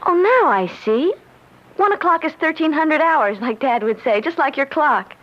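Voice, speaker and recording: A woman's voice: high-pitched, lively intonation, moderate pace. An actress playing a sweet, sheltered eighteen-year-old small-town girl, polite and wide-eyed, in an old-time radio mystery. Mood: polite, curious. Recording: dull, hissy old radio recording.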